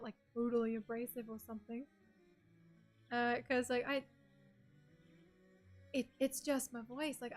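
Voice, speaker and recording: Australian woman, game streamer; soft and breathy, a touch high-pitched, chatty, answers chat as she goes. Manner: chatty, reads chat messages aloud and answers them. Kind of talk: casual chat with stream viewers.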